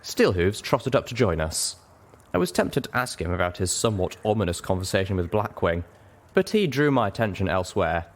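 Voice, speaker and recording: A man's voice: deep voice, big pitch swings, brisk. A man reading a story aloud in dramatic first-person narration. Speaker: reader of fan fiction.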